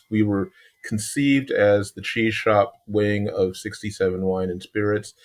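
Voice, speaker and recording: A man in his sixties from Chicago with a Chicago accent, a podcast guest telling his story in an unhurried way and often pausing to find words. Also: on the low side. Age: 60s. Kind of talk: relaxed interview storytelling.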